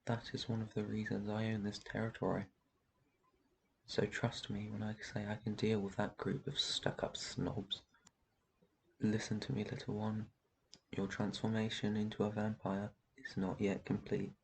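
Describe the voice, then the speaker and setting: Man as a low, gravelly, protective ancient vampire. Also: completely flat delivery, normal speed, a little hiss or hum, rough recording. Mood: reassuring, protective. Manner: soft shushing, reassuring, tender endearments. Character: very old, protective male vampire master, gentle and soothing. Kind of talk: roleplay ASMR, intimate one-sided dialogue.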